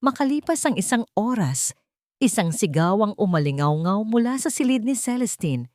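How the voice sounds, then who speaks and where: A woman narrating a scripted drama story in an expressive, suspenseful way. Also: mid-range voice, normal speed, dry recording, studio quality.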